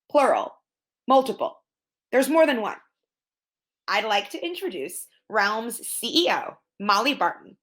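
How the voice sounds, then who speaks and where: Woman, event host, high voice, very lively intonation, theatrical emphasis. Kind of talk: upbeat scripted event hosting.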